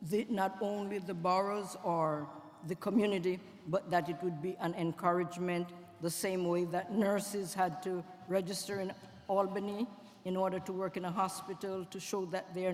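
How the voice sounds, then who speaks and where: A woman commissioner speaking at a public hearing in a conversational, slightly halting way. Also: on the low side, darkish tone. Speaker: commissioner, former city council member. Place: public hearing in a school building. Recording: long reverb of a hearing hall.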